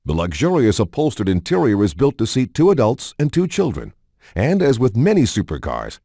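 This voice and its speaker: A man's voice: medium pitch, wildly swooping pitch, moderate pace, mellow, slightly rough, no echo, clean studio sound. A man reads scripted promotional narration for a racing game in a polished announcer style. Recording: music behind the voice. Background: background music.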